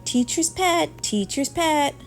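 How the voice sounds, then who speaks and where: Female reader as teasing schoolchild, cheeky storybook classmate, very lively intonation. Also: high-pitched, slow, voice pushed up, heavily acted. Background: music.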